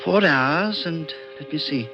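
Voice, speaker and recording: An actor in an old radio drama playing a polite, serious alien visitor who speaks in a measured, precise, mildly condescending way. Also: male voice, on the high side. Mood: patient, explaining. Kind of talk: 1940s-50s radio drama acting. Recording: muffled vintage radio recording, faint music.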